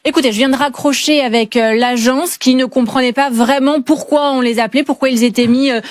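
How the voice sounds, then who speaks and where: A woman from a radio show's team reporting back on air about a call. Her voice sits a little high, she keeps it matter-of-fact, and the sound is dry and close. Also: fairly even intonation, a little fast, dry recording. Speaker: radio show researcher.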